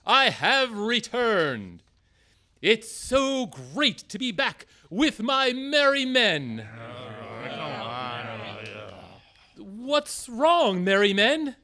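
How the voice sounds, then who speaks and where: A man's voice: very high voice, very animated, drawn-out words, sharp tone, exaggerated voice. A man playing an earnest, theatrical, easily wounded outlaw leader in a comic radio play. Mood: hearty, eager. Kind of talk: radio-play comedy acting.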